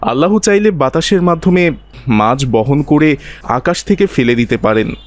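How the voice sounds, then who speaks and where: A man as a measured, learned village cleric. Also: a little high, lively intonation, no room sound, natural voice. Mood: solemn teaching. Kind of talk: didactic cartoon dialogue with recitation.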